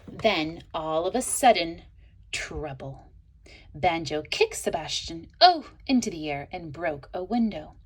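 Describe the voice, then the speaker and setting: A woman reading a children's picture book aloud in an expressive way, as a teacher would to young listeners. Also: fairly low pitch, breathy edge. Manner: expressive, pauses to ask listeners questions. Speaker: storybook reader, teacher.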